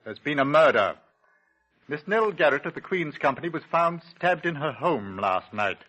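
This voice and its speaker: An actor playing a formal, courteous police inspector in a 1940s radio drama, deliberate and politely insistent. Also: male voice, fairly high pitch, very animated, bright, forceful tone, exaggerated voice. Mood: stern, polite. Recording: old lo-fi 1940s radio recording.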